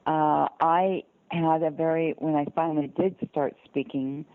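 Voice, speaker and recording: An older woman from the American South tells personal stories in an earnest, deliberate way. Her voice is low for a woman and comes through a narrow, dry telephone line. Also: fairly even intonation, smooth, dry recording. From southern United States (Georgia, North Carolina). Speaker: interview guest. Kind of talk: personal storytelling in conversation. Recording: muffled narrow phone-line sound.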